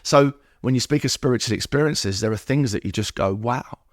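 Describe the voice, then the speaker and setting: A man's voice: mid-range voice, brisk, no room sound, clean studio sound. Middle-aged man telling his story. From England. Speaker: podcast guest. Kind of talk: personal recovery storytelling.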